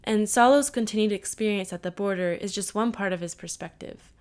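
Woman reading documentary narration, steady and even, mid-pitched voice. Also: fairly quick, clear, resonant tone. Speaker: narrator, host. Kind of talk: scripted narration.

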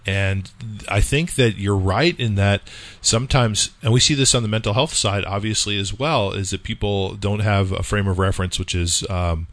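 A man hosting a radio interview, speaking in a measured, thoughtful way with occasional fillers. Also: on the low side, a bit bright. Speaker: radio show host.

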